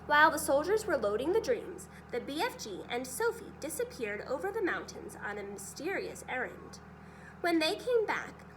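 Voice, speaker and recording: High-voiced, animated woman reading a storybook. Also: a little hiss or hum, poor audio. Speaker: storybook reader. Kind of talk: expressive scripted narration for children.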